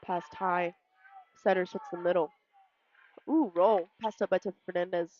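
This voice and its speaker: A woman's voice: lowish voice, fairly even intonation, moderate pace, a little mellow, muffled recording, a little hiss or hum. A woman co-commentating live volleyball in casual, clipped, touch-by-touch phrases.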